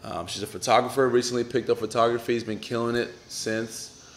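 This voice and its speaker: A man hosting a casual interview show, talking in relaxed slang with hype in his voice. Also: medium pitch, fairly quick, a little hiss or hum. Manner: hyped, laid-back slang talker. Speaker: podcast host.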